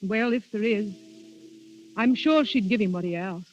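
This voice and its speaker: A woman's voice: medium pitch, unhurried, natural voice. An actress playing an earnest, lovestruck young woman in a melodramatic 1930s radio drama. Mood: ardent. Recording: muffled 1930s radio recording.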